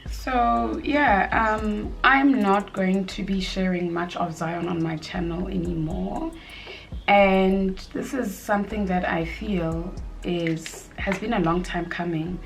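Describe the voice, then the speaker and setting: A woman around thirty with a slightly husky voice, chatting casually at home while doing her makeup, rambling with frequent ums and likes. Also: mid-range voice, steady tone, slow pace, soft background music. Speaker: beauty vlogger and mother.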